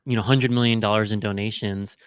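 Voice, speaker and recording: A man hosting an interview podcast, explaining points to listeners in a relaxed, conversational way. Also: lowish voice, fairly quick, clear, resonant tone, no echo, clean studio sound. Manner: explanatory, drawing business lessons. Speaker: podcast host. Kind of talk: casual interview chat.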